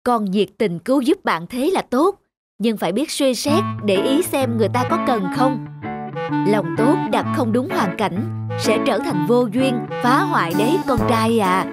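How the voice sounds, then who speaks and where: A woman voicing a calm, wise father bear who patiently explains things to his son. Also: fairly high pitch, unhurried, pitched below the performer's own voice. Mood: gentle wise. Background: music.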